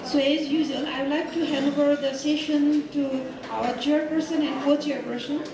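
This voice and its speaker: A woman's voice: high voice, steady tone, normal speed, creaky. Formal woman emcee in an echoey hall. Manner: polite and formal. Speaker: conference emcee. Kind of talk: formal announcements. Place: large echoey conference hall. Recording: echoey hall, distant mic, noisy.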